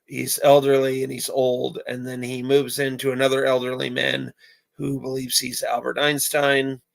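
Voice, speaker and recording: A man with a hoarse voice chatting casually with viewers on a live stream, rambling with many filler pauses. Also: mid-range voice, completely flat delivery.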